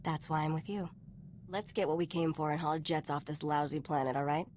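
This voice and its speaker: Actress doing a low voiced lady smuggler for a game, blunt, kinda selfish, bit of noise. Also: fairly even intonation, darkish tone, lightly acted. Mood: defensive, blunt. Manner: blunt, brisk, matter-of-fact. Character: hard-bitten, self-serving woman smuggler partner, unapologetic. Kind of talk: video game voice acting.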